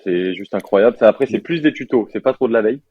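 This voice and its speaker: A young man in his twenties from France, a software developer chatting casually in an interview with lots of fillers and slang. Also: fairly low pitch, fast talker, husky, no room sound, muffled recording. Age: 20s.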